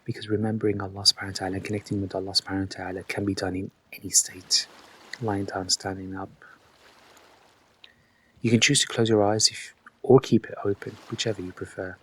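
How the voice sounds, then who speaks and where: A man leading a guided meditation with soft, breathy delivery, speaking gently and reassuringly to the listener in unhurried instructions. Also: on the low side, flat delivery.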